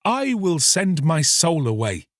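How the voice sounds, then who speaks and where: A man's voice: a little high, big pitch swings, slow pace, dry recording, studio quality, pitched above the performer's own voice. A man voicing a lovestruck young fisherman in a storybook, pleading earnestly in impassioned, archaic speech. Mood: elated, resolute.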